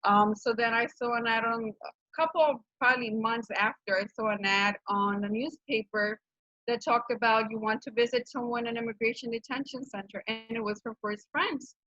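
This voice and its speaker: Bright-voiced woman with a Honduran accent. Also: fairly high pitch, fairly even intonation, moderate pace, dry recording. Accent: Honduran Spanish. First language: Spanish. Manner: earnest, many fillers and restarts. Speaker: program director of a nonprofit. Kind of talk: casual presentation on a video call. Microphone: video call microphone. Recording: dull, dry video-call audio.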